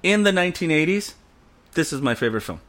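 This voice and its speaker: A man's voice: on the high side, very animated, quick pace, fairly bright tone. A man co-hosting a casual film discussion, blunt and opinionated, often laughing. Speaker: podcast co-host.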